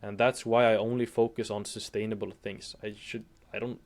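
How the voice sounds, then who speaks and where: Young swedish man, swedish accent, english not his first language, low voice, flat delivery, halting restarts. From Sweden. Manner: hesitant, halting with frequent restarts. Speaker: guest. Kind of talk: casual interview chat.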